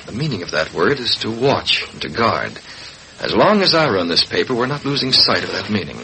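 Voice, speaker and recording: An actor playing a principled, authoritative newspaper publisher, crisp and earnest, in an old radio drama. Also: male voice, on the low side, big pitch swings, brisk. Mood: earnest, resolute. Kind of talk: 1940s radio drama acting. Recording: noisy old radio recording.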